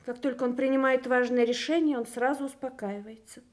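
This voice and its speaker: An actress playing a general's devoted, anxious wife in a Soviet radio play, with an expressive, emphatic manner. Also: female voice, high-pitched, a little fast, lightly acted. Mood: grave, anxious.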